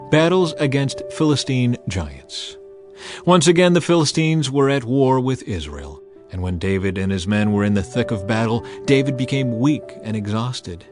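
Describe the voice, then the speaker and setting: Solemn man narrating scripture over music. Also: medium pitch. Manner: solemn, measured, emphatic delivery. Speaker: audio Bible narrator. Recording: music bed under narration. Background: background music.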